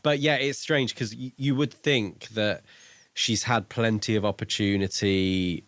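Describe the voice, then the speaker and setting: A man's voice: on the low side, fairly even intonation, a little slow, a bit bright, no room sound. A man co-hosting a podcast, discussing a book casually in a questioning, mildly pedantic way. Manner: questioning, self-admittedly pedantic. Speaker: podcast co-host.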